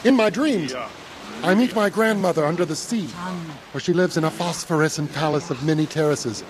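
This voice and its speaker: A man's voice: fairly high pitch, normal speed, crowd noise, lightly acted. An actor playing a haunted young man narrating a horror audio drama in an intense, literate, theatrical way. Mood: horrified. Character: haunted young male narrator, anxious, sliding into rapt madness.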